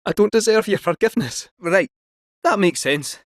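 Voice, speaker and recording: A chatty male robot cat fortune teller from a video game, with a lilting Scottish accent. The voice sits high and leaps about playfully in pitch. Recorded dry and close, with no room sound. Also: expressive, moderate pace, no room sound. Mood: contrite.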